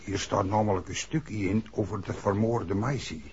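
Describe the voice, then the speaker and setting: A man's voice: fairly low pitch, fairly even intonation, normal speed, a little mellow, slight background noise, natural voice. An actor playing a gossipy, plain-spoken older male innkeeper, talking in a folksy, colloquial way in a 1960s radio drama. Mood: confiding, chatty. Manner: colloquial, folksy, peppers speech with tag questions. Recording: muffled old radio recording.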